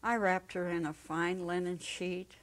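Actress as gentle elderly woman, storybook drama, lowish voice, unhurried, halting, full of simple wonder. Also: fairly even intonation, natural voice. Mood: tender, grieving. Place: small indoor set. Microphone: lapel.

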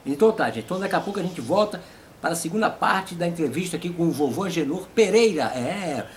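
A Brazilian man in his fifties with a fairly high voice hosts a casual comedy interview. He chats informally, with faint noise in the background. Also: animated, fast. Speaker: host and puppeteer.

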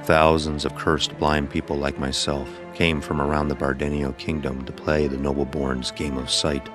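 Very deep-voiced man narrating a story. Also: level tone, normal speed, loud music behind the voice. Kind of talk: first-person scripted story narration.

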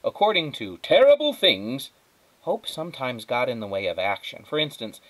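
A man's voice: mid-range voice, wildly swooping pitch, moderate pace, a bit bright, low-quality recording. A man reading a children's storybook aloud as a teacher, lightly acting out the dialogue.

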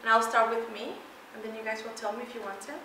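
A lively woman from Mexico, middle-aged, addresses a roomful of students from the front of a big lecture hall, her bright, forceful voice leaping up on key words. She is caught from across the room, so it rings with a strong echo. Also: on the high side, quick pace, a little hiss or hum. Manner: energetic, emphatic, laughs often, walks while talking. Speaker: keynote speaker. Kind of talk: motivational presentation to students. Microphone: far room pickup. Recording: echoey hall from far off.

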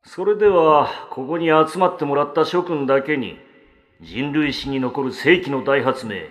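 An actor playing a self-important middle-aged inventor in a visual novel, declaiming pompously to an audience. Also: male voice, on the high side, normal speed, fairly bright tone, natural voice.

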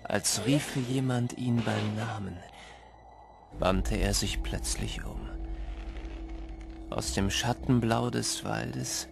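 Male storyteller for scripted fiction: measured, creaky voice, music underneath. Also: fairly low pitch, steady tone. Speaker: narrator.

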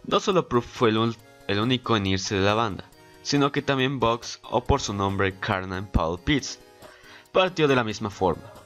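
A man's voice: fairly low pitch, normal speed, a bit bright. A man co-narrating a scripted music trivia video as a guest.

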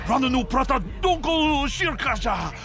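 A man playing an alien in a video game, exclaiming in a drawn-out, invented alien tongue. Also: very high-pitched, sing-song, a crowd in the background. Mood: agitated. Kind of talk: video game creature dialogue. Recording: music playing behind.

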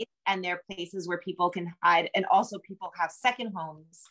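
Casting: American woman, middle-aged, slightly low voice, informal and halting, an author chatting on a video call. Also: fairly bright tone, low-quality recording. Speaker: novelist and screenwriter guest. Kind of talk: casual conversational explaining. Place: room with bookshelves, indoors.

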